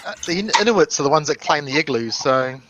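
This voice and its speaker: A man's voice: a little high, lively intonation, fairly quick, background noise. A man teasing his friends in a casual video-call chat. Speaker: hangout participant.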